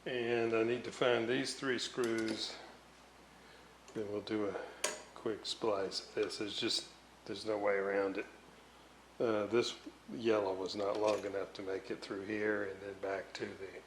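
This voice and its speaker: A man's voice: on the low side, flat delivery, a little slow. A man casually narrating hands-on repair work as a hobbyist, thinking aloud as he goes in an indoor workshop. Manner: unscripted, thinking aloud while working. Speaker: radio repair hobbyist and video host. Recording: roomy echo, noticeable background noise.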